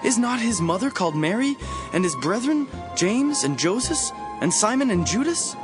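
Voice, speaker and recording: A man's voice: high-pitched, big pitch swings, moderate pace. A man playing an indignant, scornful townsman in a dramatized audio Bible, asking questions with emphatic disbelief. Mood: incredulous, scornful. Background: music.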